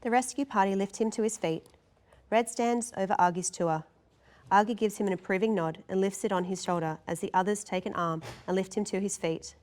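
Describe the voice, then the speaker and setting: A woman's voice: mid-range voice, fairly quick, darkish tone. A woman reading scripted narration and stage directions as narrator at a table read.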